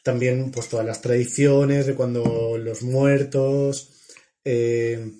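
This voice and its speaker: Mellow, measured man from La Mancha. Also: mid-range voice, level tone, a little hiss or hum. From La Mancha, Spain. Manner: thoughtful, measured, with hesitant fillers. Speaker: podcast co-host. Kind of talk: casual film chat.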